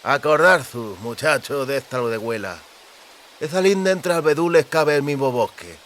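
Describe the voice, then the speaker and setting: A man's voice: mid-range voice, normal speed, a bit bright, a little hiss or hum, natural voice. A man voicing a greedy, mocking brigand with a lisping, lip-smacking delivery in an audiobook. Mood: leering menace. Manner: mocking, menacing. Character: greedy lisping male brigand, lecherous and smirking.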